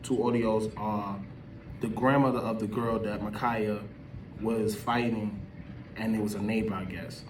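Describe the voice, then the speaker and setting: A man's voice: fairly low pitch, steady tone, measured pace, reverberant. Man giving opinions, noisy distant mic. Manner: emphatic, slangy, conversational. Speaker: video host. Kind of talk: casual opinion commentary. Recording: distant mic with background noise.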